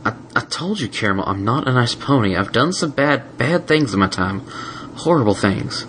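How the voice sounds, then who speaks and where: Haunted ex-brute pony stallion, read by a man, halting and stammering, faint hiss. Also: medium pitch, lively intonation, fairly bright tone, close to an everyday voice. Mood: ashamed. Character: tormented male pony, ashamed former brute.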